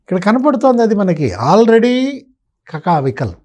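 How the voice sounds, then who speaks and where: Mellow, high-voiced man giving political commentary. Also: sing-song, a little slow. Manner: rhetorical questions, colloquial sarcasm. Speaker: political commentator, interview guest.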